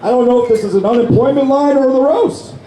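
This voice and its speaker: A man with a hoarse, worn voice, delivering brash, profane insult jokes at a live roast on a convention stage with a handheld mic. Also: very high voice, quick pace. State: hoarse, lost his voice. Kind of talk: live roast comedy set. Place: convention stage before a crowd. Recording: echoey hall, loud crowd noise.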